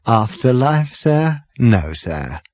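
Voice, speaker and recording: A man's voice: medium pitch, very animated, slow pace, darkish tone, dry recording, studio quality, voice pushed up. A man voicing an obsequious, polite waiter in a comic audiobook, formal and deferential with patient condescension. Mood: patiently condescending.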